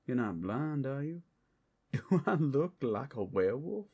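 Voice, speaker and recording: A man acting a bewildered, incredulous captive who insists he is human, in a hushed ASMR roleplay. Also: mid-range voice, very animated, a little slow, husky, full character voice. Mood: incredulous denial. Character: bewildered male captive denying he is a werewolf.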